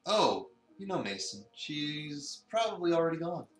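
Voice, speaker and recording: A man's voice: a little high, very animated, measured pace, faint music behind, pitched above the performer's own voice. A man voicing a polite, slightly stuffy, fatherly cafe staffer in a visual novel, with a mild, formal manner. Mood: friendly. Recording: roomy, distant mic.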